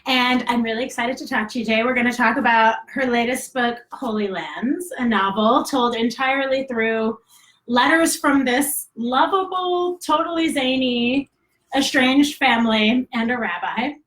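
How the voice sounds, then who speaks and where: A woman's voice: on the high side, normal speed, a bit bright. A woman hosting a live interview, upbeat, with hedging phrases like "sort of" and "you know". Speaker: interviewer, magazine editor. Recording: roomy echo.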